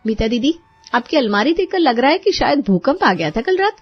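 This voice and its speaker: A woman voicing a bossy, sharp-tongued young bride in a cartoon story, commanding and sarcastic. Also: high-pitched, big pitch swings, fairly quick, slightly rough, no room sound, muffled recording, soft background music, pitched above the performer's own voice, exaggerated voice.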